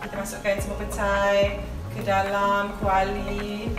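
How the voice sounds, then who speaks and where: A woman's voice: mid-range voice, completely flat delivery, measured pace, over music. A young Malaysian woman hosting a casual cooking vlog in her home kitchen, gushing and giggling as she chats. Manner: bubbly, giggly, gushing, code-switching. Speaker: vlogger host. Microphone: camera mic from a few feet away. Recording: roomy kitchen sound from a distance.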